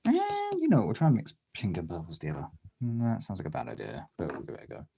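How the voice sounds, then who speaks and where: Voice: low-pitched, wildly swooping pitch, quick pace, creaky, warm tone, a little hiss or hum. A streamer chatting casually while drawing, rambling and thinking aloud in a self-deprecating way.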